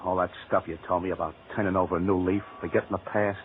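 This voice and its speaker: Man playing a brooding low-voiced ex-con, tinny radio. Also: flat delivery, quick pace, slight background noise, close to an everyday voice. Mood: bitter, defiant. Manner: slangy, clipped, tough-guy talk. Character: bitter, brooding ex-convict down on his luck. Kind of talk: 1940s radio acting. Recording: tinny old-time radio recording.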